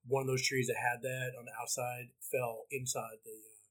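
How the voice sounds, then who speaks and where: A man's voice: medium pitch, moderate pace, low-quality recording. A man hosting and producing a podcast, chatting easily and backing his guest with mm-hmms. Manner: flat, easygoing, many mm-hmms. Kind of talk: casual podcast chat.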